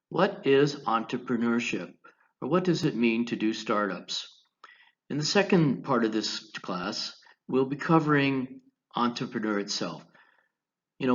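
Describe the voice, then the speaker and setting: An American man teaching an online class, giving a deliberate, instructional lecture over slides, phrase by phrase. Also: mid-range voice, dull audio. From United States, Colorado.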